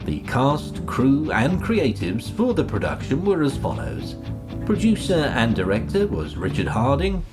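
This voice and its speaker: A man reads scripted closing credits as a formal announcer. His delivery is slow with very wide swings in pitch, and guitar music plays clearly behind him. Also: wildly swooping pitch.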